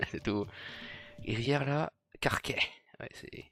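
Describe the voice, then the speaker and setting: A man's voice: mid-range voice, slow, fairly bright tone. A man born in Iran, chatting casually during an online strategy game, directing his team and swearing freely. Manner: bossy, swears casually, calls teammates "frère". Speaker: gamer.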